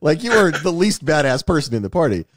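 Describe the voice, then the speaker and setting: A man's voice: on the high side, very animated, fast, dry recording. A man co-hosting a podcast in a casual film-review chat, telling stories in an emphatic, unguarded way. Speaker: podcast co-host, television producer.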